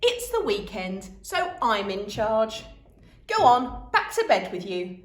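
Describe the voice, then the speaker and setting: A woman's voice: a little high, very animated, reverberant. A woman reading a storybook and voicing a cheerful, bossy father who gives orders like a sergeant. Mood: jolly commanding. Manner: brisk, commanding, sergeant-like.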